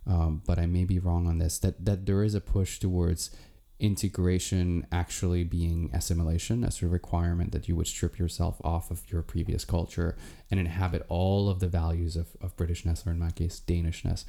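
A man interviewing, framing a long question in a halting way with repeated words. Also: deep voice, little pitch movement, vocal fry, dark tone.

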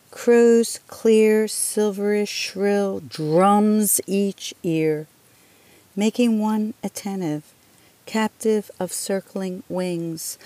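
A woman poet reciting her own verse solemnly, with deliberate, dramatic phrasing. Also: mid-range voice, dark tone, dry recording. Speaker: poet reading own poems.

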